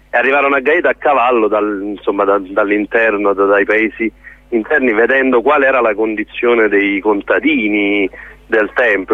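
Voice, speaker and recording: A man interviewed by telephone, heard through a narrow, dry line. He talks earnestly as a theatre director, often pausing and rephrasing while he looks for the right word. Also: mid-range voice, no room sound. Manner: hesitant, searching for words, earnest. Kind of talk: spontaneous radio interview. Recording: narrow tinny phone line.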